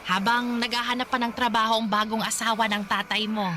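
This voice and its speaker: A woman playing a weary, gentle old grandmother who speaks hesitantly and softly in a radio drama. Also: on the high side, flat delivery, a little fast, a little hiss or hum. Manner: hesitant, soft-spoken, pleading.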